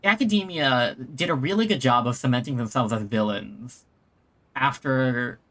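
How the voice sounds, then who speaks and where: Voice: medium pitch, moderate pace, a bit bright. A game streamer giving casual, hesitant playthrough commentary, repeating words and often saying like.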